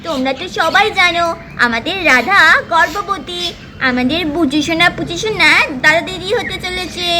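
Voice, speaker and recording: A woman's voice: very high voice, normal speed. A woman narrating a doll puppet story for children, talking to the viewers as friends. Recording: steady background noise.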